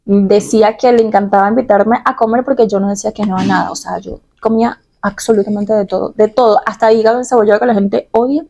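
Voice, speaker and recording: Venezuelan girl from caracas chatting to her followers, mellow kinda gravelly voice, giggly and confiding. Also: medium pitch, steady tone, quick pace, hardly any pauses, a little hiss or hum. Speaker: vlogger answering personal questions. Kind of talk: casual, chatty personal vlog.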